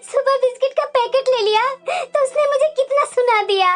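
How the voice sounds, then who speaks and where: A woman's voice: extremely high pitch, fast, dark tone. A woman playing a meek, long-suffering elderly mother-in-law in a family drama, plaintive and self-pitying. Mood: hurt, complaining. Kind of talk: Hindi family drama story dialogue.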